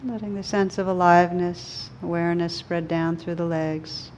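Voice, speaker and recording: A woman leading a guided meditation, speaking gently and soothingly in slow, unhurried phrases with pauses. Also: fairly low pitch, fairly even intonation, a little hiss or hum. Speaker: meditation teacher.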